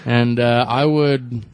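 A man co-hosting a casual chat, easygoing and halting, with many fillers. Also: medium pitch, clear, resonant tone, no room sound. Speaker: co-host. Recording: dry close sound with faint music.

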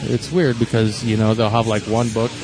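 A man co-hosting a casual chat, easygoing and halting, with many fillers. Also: fairly low pitch, flat delivery, rough recording. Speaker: co-host. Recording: dry close sound with faint music.

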